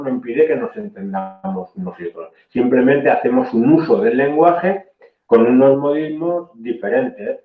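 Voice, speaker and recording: A man's voice: medium pitch, echoey room, phone-quality sound. A man from Spain giving a technical training lecture, explaining patiently and often checking in with "¿eh?". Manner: explanatory, frequent "¿eh?" checks, self-corrections.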